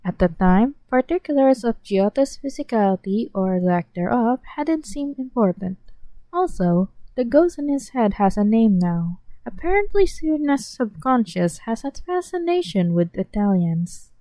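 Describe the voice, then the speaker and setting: A woman's voice: fairly high pitch, expressive, measured pace, warm tone, no echo, low-quality recording. A woman reading a fanfiction story aloud as an audiobook, earnest, with occasional small stumbles and self-corrections. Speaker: podfic reader.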